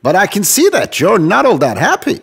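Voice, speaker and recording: A man's voice: sits high, huge pitch swings, moderate pace, creaky, fairly bright tone, slightly rough, heavily acted. A man voicing a snobbish, boastful city mouse in a children's fairy tale. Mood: disdainful.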